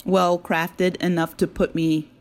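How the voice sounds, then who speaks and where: A woman talking casually as an interview guest, chatty and appreciative, quick to laugh. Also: fairly low pitch, flat delivery. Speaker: interview guest, audio drama creator.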